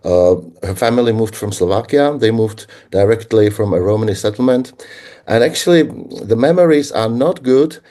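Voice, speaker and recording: A man from the Czech Republic with a Czech accent, speaking English as a guest in an interview, reflective and halting with frequent pauses. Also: lowish voice, mellow. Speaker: guest linguist. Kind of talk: conversational interview answers.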